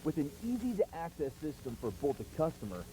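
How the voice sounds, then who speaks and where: Polished founder-pitchman , played by a man, warm dark tone, very varied intonation, scripted promo, slightly noisy track. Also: very animated, a little fast. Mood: salesmanlike. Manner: rhetorical, salesmanlike. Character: polished male company founder pitching his venture.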